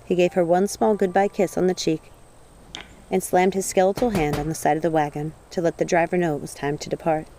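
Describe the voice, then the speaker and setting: A woman reading a storybook aloud as narrator, in an expressive, dramatic way. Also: on the low side, brisk, a little hiss or hum. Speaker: audiobook narrator.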